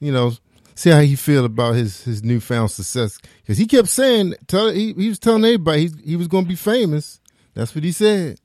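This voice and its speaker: A man's voice: fairly high pitch, lively intonation, fairly quick, darkish tone, dry recording. Casual male radio host, dry sound. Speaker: internet radio talk show host. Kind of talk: casual conversational hosting with shout-outs.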